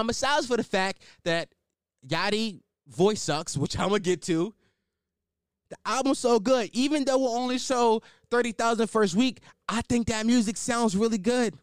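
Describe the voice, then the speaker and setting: A young man hosting a solo podcast, blunt and opinionated, swearing and riffing off the cuff, with a higher-pitched voice. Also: normal speed, clean tone, dry recording, clean studio sound. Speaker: podcast host.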